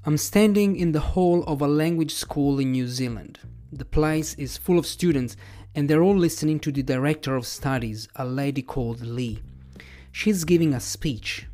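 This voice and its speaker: A man in his thirties, a native Italian speaker, hosting a podcast and telling a personal story in a relaxed, measured way. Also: fairly high pitch, soft background music. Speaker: podcast host and English teacher.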